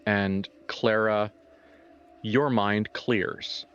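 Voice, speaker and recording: A man improvising horror narration as a tabletop game master, halting and informal as he searches for words. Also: lowish voice, fairly even intonation, a bit bright, clean tone, muffled recording.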